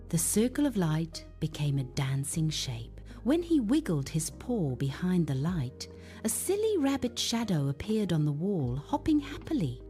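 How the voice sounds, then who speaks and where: A woman narrates a soothing children's bedtime story with a mellow, relaxed tone. She takes her time, letting the pitch rise and fall expressively in a gentle, hushed delivery. Music plays beneath her voice.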